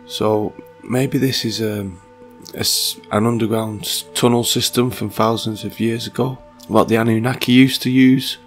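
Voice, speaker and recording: A man with a sore, croaky throat narrating a conspiracy video in a musing, rambling way, reading passages aloud. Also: on the low side, fairly even intonation, normal speed, faint music behind. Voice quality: gravelly. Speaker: channel host.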